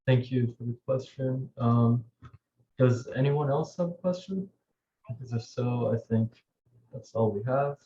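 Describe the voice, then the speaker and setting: A man moderating a question session, relaxed, inviting people to raise their hands and speak. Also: medium pitch, a little slow, reverberant, dull audio. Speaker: event moderator.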